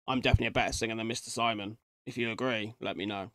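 A man's voice: on the low side, fairly even intonation, very fast, fairly bright tone, no room sound. A man in his thirties giving casual reaction commentary, musing aloud and talking informally to viewers. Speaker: reaction video host. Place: indoors, seated at a desk.

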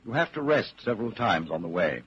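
An actor playing a grave narrator of a bleak dystopian tale, with dramatic, deliberate delivery in 1940s radio style. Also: male voice, lowish voice, sing-song, a bit bright, slightly rough, full character voice. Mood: grave, ominous. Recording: muffled, noisy 1940s radio broadcast.

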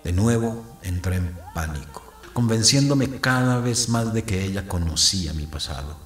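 A man's voice: deep voice, lively intonation, moderate pace, fairly bright tone, roomy sound, faint music behind. An actor playing a guilt-ridden priest narrating his past in an audio drama. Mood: haunted, brooding. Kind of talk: scripted first-person dramatic narration.

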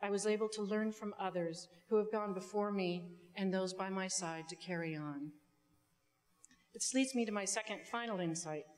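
A woman's voice: mid-range voice, normal speed, darkish tone. Echoing middle-aged woman giving a speech. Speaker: student commencement speaker. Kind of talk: prepared public speech with some ad-libs. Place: indoor auditorium stage. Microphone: podium microphone. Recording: long hall reverb from stage sound system.

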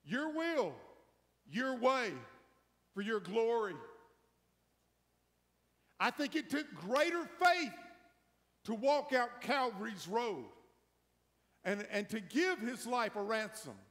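A middle-aged man preaching as pastor, building emphasis through a slow sermon before a hushed prayer in a large church. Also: very high voice, expressive. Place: large church sanctuary, empty pews. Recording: long church hall reverb.

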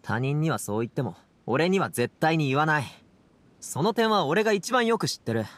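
An actor playing a spirited, stubborn young man in a Japanese game, with rough, casual youthful speech. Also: a little high, lively intonation, moderate pace, close to an everyday voice. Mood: frustrated. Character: spirited young man, frustrated and stubborn about his father.

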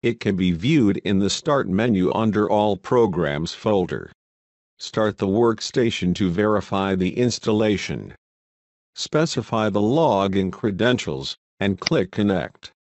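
A man with a fairly low voice narrates a scripted software tutorial. His delivery is measured and instructional. The voice-over is recorded close and dry, with little room sound. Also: animated, no echo, dull audio.

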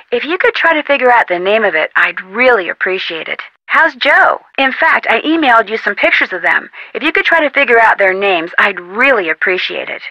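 Young American female sleuth, game dialogue. Inquisitive, polite, chatty; slightly high voice, dry close sound. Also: bright, forceful tone, no echo, rough recording. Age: young adult. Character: friendly, inquisitive young woman amateur detective. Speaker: video game character voice actor. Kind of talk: scripted adventure game dialogue, phone-call delivery.

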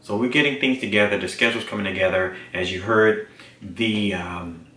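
An American man in his forties speaking informally to camera in a video diary, halting as he searches for words. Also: lowish voice, bright, forceful tone, distant mic. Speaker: actor presenting a behind-the-scenes series. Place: small indoor office. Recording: roomy, off-mic, faint music behind.

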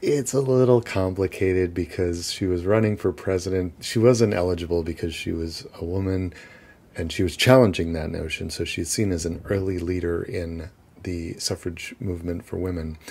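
A man's voice: deep voice, creaky, darkish tone, slightly rough. A young man guiding a walking tour outdoors on city streets, chatty and casual, often laughing between points. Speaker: tour guide.

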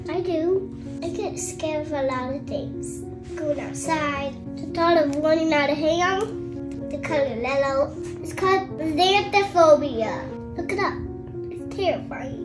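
Very high, sassy little cat. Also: female voice. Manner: short, simple, cheeky childlike phrases. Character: sassy, sweet little girl cat with childlike speech. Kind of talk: scripted pet voice-over comedy.

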